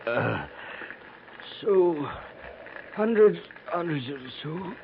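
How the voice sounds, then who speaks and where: An actor playing a gravely wounded wagon train survivor in a 1950s radio western, weak and dazed, speaking in broken, halting phrases. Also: male voice, on the high side, big pitch swings, heavily acted. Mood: dazed, dying. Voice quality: weak, faltering voice. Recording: tinny, noisy 1950s radio transcription.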